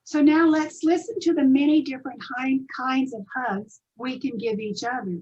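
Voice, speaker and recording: A woman introducing children's stories as host, in simple measured phrases to her audience. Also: high-pitched, fairly even intonation, a little mellow, breathy edge, muffled recording. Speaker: host and presenter of a readers theatre.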